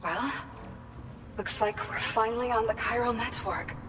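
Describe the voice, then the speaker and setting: An actress playing a plainspoken, respectful outpost worker in a video game, casual and direct. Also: female voice, a little high, unhurried, close to an everyday voice. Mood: frank, appreciative. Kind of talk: video game cutscene dialogue. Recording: distant mic, clear background noise.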